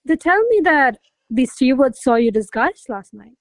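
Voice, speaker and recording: Woman playing an imperious, higher-voiced noble lady. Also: sing-song, normal speed, no room sound. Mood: commanding, probing. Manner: commanding, questioning, haughty. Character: imperious, jealous noble lady, probing and commanding. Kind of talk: stage verse drama read as radio play.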